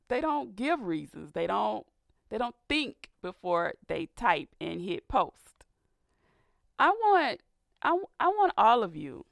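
A woman hosting a faith-based radio talk show, raspy and a little hoarse from sinus trouble, speaking earnestly and building to a preacher's fervor. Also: mid-range voice, dry recording, clean studio sound. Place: radio studio.

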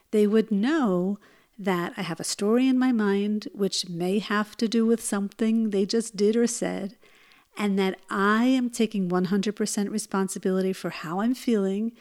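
A woman hosting her own podcast, speaking in a gentle, earnest way and telling personal stories. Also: medium pitch. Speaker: podcast host. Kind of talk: solo podcast talk.

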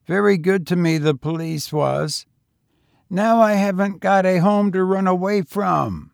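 Male reader as a husky, frail boy tramp; raised pitch, louder, dry. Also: a little slow, no room sound. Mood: pleading. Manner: eager, talkative, fatalistic. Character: frail teenage boy tramp, coughing and hoarse.

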